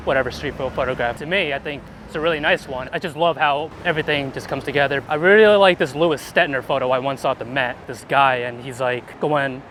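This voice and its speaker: A man's voice: mid-range voice, a little fast, hardly any pauses, a bit bright. A man being interviewed as a guest, chatting casually and informally on a busy city street. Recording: noisy outdoor city street with traffic.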